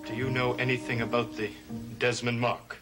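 A man's voice: medium pitch, little pitch movement, normal speed, natural voice. Male actor, young, haunted, hesitant, brooding, vintage gothic soap, background music. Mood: anxious. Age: young adult. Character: troubled, haunted young grandson with secrets. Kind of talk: 1960s gothic TV soap acting. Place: TV studio set of an old house.

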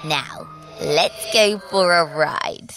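A woman's voice: lowish voice, extremely slow, music in the background. A woman hosting a story podcast with a scripted introduction. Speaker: show host.

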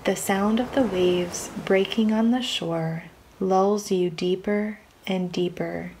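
A woman reading a scripted guided imagery meditation in a calm, soothing, measured manner with long pauses. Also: medium pitch, slight background noise, rough recording.

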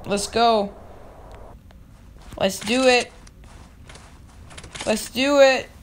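A young woman with a slightly raspy voice, giving casual commentary over her own gameplay and yelling at other players. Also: fairly high pitch, slight background noise. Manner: excitable, yells at other players, quick laughs. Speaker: gaming channel host.

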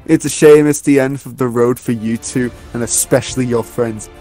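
A man's voice: medium pitch, steady tone, normal speed, dark tone, faint music behind, natural voice. A man playing a smug, menacing villain taunting captives in an apocalypse game roleplay. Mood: gloating.

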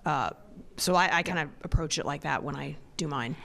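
A woman's voice: low-pitched, a little fast, vocal fry, fairly bright tone. A woman in a training audience answering a question hesitantly, with many fillers, in a large conference room. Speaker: EMS worker in the audience.

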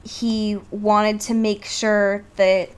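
A woman's voice: medium pitch, little pitch movement, extremely slow, smooth. A woman co-hosting a podcast, explaining things in casual, informal chat with some swearing. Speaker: podcast co-host. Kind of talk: casual film discussion chat.

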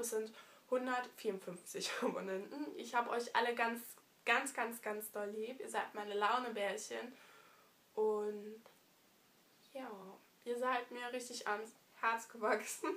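A young woman vlogging casually from her living room with a stuffy nose from a cold, chatty and affectionate, pausing often with fillers. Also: fairly high pitch, a bit bright. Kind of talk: casual year-review vlog.

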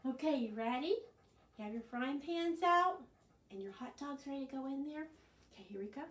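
A woman in her sixties presenting a children's storytime, expressive and often prompting the children with questions. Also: high-pitched, normal speed. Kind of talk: children's storytime, singsong chanting and read-aloud. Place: small furnished living room, indoors. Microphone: camera a few metres away. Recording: roomy, distant camera microphone.

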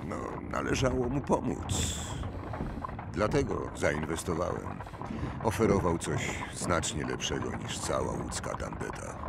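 An old, wealthy man of business in an audio drama. His gravelly voice swings widely in pitch as he talks with hesitant, ponderous courtesy. Loud vehicle ambience runs behind him. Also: very noisy, full character voice. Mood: measured, probing. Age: older. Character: old wealthy businessman, genial surface, burdened by loss. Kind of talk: radio drama acting.

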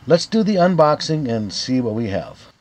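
A man's voice: medium pitch, big pitch swings, normal speed, darkish tone. A man in his fifties presenting a product review in a plain, step-by-step instructional way. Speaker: video host, gear reviewer. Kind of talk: product review narration to camera.

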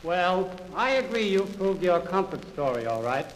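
A man's voice: high voice, sing-song, moderate pace, slight background noise, rough recording. A man playing a doubtful truck buyer in an old sales film, questioning the claims.

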